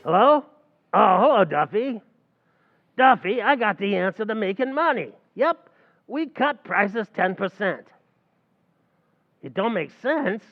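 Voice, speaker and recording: A brash New bartender lectures like a know-it-all and keeps mangling his big words, as a man plays him. His voice runs high and bounces all over, with a gravelly rasp. The sound is dull and lo-fi, like an old-time radio comedy. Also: big pitch swings, a little slow, pauses often, full character voice. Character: fast-talking, know-it-all New York bartender Archie.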